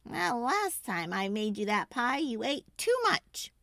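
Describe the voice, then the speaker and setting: A woman's voice: fairly high pitch, big pitch swings, unhurried, vocal fry, sharp tone, dry recording, clean studio sound, pitched above the performer's own voice, full character voice. A woman voicing a wary, grumbling older storybook wife who gives in reluctantly, speaking with emphatic warnings.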